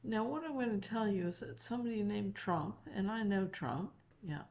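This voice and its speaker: A woman voicing a folksy, elderly gentleman and former president in an emphatic, deliberate, profane channeled monologue. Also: medium pitch, lightly acted. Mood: folksy, declarative. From North Carolina, United States. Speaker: spiritual channeler.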